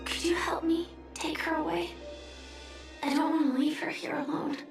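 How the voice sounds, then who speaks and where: A woman voicing a grieving but resolute young knight in a video game, solemn, restrained and softly breathy. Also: extremely high pitch, level tone, moderate pace. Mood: solemn, reflective. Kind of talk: dramatic video game voice acting. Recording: roomy echo, music underneath. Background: music.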